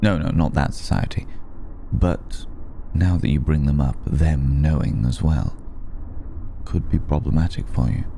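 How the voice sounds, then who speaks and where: A man playing an elegant, centuries-old vampire in an ASMR roleplay, speaking with measured, darkly polite menace and weary hesitation. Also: a little hiss or hum, exaggerated voice. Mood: grave, warning. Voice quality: very deep, gravelly, dark. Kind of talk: slow intimate ASMR roleplay addressed to listener.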